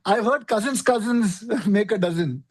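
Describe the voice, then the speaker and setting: A man's voice: high-pitched, normal speed, breathy edge. Terse, contrarian man in casual banter. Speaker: podcast co-host, dissenting reviewer.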